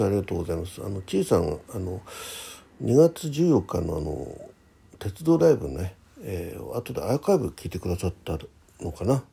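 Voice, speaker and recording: A man's voice: deep voice, vocal fry, dark tone, breathy edge. A man hosting his own casual talk show, chatting solo in a hesitant, conversational way with little asides agreeing with himself. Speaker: host of a personal audio talk show. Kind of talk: casual solo radio chat, reading listener messages.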